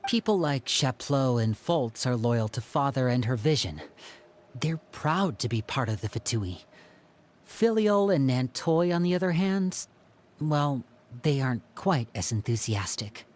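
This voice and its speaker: A soft-spoken young magician. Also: male voice, mid-range voice, very animated. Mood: calm. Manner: gentle, measured storytelling.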